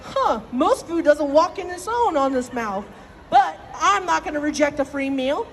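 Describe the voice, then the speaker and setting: A woman's voice: sits high, expressive, normal speed, in a large hall, slight background noise. Woman voicing a big vain storybook wolf. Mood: hungry, curt.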